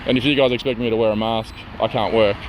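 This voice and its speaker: Fast-talking, argumentative man. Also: fairly low pitch, fairly even intonation, a little hiss or hum, poor audio. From Slovakia. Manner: argumentative, exasperated, defiant. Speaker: furniture remover stopped by police. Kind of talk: street argument with police. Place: outdoors on a suburban street with traffic.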